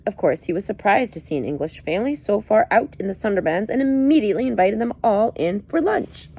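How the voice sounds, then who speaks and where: Lively, playful woman reading aloud. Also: medium pitch, brisk, breathy edge. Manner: playful, joking asides. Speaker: reader. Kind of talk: reading a book aloud with asides.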